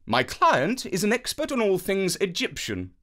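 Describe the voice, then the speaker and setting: A man voicing a proud, precise gentleman detective with a formal, emphatic manner in a children's story. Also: sits high, huge pitch swings, moderate pace, pitched above the performer's own voice, full character voice. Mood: assured, brisk.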